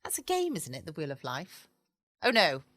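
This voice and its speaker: A woman's voice: low-pitched, huge pitch swings, normal speed, fairly bright tone, dry recording. An English woman hosting a bedtime podcast from her home, rambling in a chatty, friendly way. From England. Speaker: podcast host. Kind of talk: casual chat.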